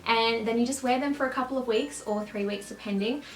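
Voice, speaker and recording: A young woman vlogging in a casual, upbeat way, with a slight lisp and a quick laugh. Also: on the high side. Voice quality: slight lisp from clear aligners. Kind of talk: casual chat to camera. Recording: roomy echo.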